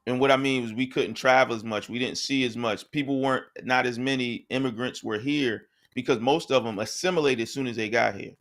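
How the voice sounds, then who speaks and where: A man's voice: mid-range voice, a little fast, few pauses, a bit bright. A man co-hosting a podcast, talking in a casual, conversational way and often checking in with "right?". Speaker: podcast co-host. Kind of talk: casual discussion.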